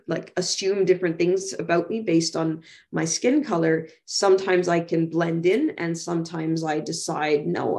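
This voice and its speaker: Creaky-voiced Canadian woman, reflective. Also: deep voice, darkish tone. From Toronto, Canada. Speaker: guest speaker. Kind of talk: reflective personal talk in an online panel. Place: home room, on a video call. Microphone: video call microphone.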